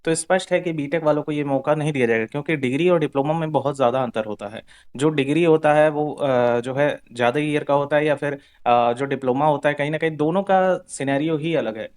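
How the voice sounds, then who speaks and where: A man's voice: fairly high pitch, brisk, darkish tone. A man presenting an informal explanatory update for exam candidates, repeating himself and mixing Hindi with English terms.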